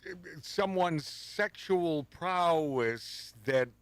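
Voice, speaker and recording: A man's voice: fairly high pitch, extremely slow, no echo. A man hosting a talk radio show, casual and combative, mocking and swearing as he reacts to clips. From Pacific Northwest of the US. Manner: animated, combative, swears and mocks.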